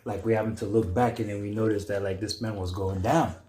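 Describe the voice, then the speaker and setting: A man's voice: on the low side, steady tone, brisk, warm tone. A young man casually adding his side of a story, slangy, repeating himself for emphasis. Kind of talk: casual chat. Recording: roomy, echoey sound.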